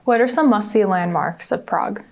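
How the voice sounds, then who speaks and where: A woman hosts a casual interview, speaking at a middle pitch. She asks curious questions and answers the guest with short, eager reactions. Her turns stay brief, and they keep the talk moving. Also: a little fast, creaky.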